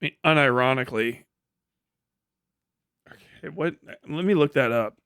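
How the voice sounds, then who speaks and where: A man's voice: medium pitch, normal speed, fairly bright tone, smooth, no echo, clean studio sound. An American man streaming live, chatting casually with his audience in a blunt, profane, teasing way. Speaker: live streamer and host reading chat. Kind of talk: casual livestream chat with joking rants.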